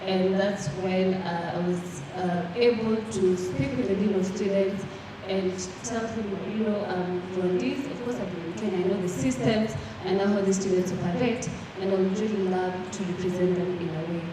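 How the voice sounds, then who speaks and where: A woman's voice: mid-range voice, steady tone, moderate pace. A young woman from Rwanda speaking earnestly as a panelist, with frequent fillers and restarts. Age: young adult. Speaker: panelist, student representative. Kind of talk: panel discussion answer. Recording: echoey hall from far off with background noise.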